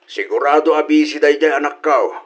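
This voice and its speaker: A man's voice: on the high side, a little fast, highs cut off, natural voice. Man acting an easygoing older Ilocano husband. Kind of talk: comedy radio drama acting.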